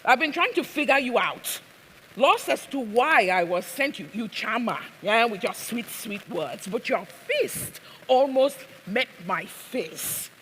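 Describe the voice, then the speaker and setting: A woman's voice: a little high, expressive, unhurried, a bit bright, pitched above the performer's own voice. A woman performing a haunted, accusing older widow in a stage piece, with a non-American accent and an insistent, commanding manner. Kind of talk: dramatic stage monologue. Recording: echoey hall, noticeable background noise.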